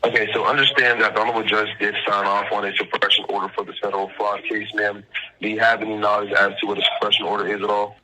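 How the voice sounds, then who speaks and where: A man's voice: fairly low pitch, little pitch movement, quick pace, pushed and bright. A man on a phone call speaking formally and insistently as a caller posing as an official, in legal language and addressing the listener as ma'am. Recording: telephone line with faint noise.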